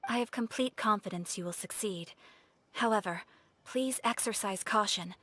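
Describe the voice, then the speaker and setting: A woman playing a composed, courteous official in a video game, speaking formally and with measured politeness. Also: medium pitch, a bit bright, no echo, natural voice. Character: composed, courteous female official briefing an adventurer. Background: music.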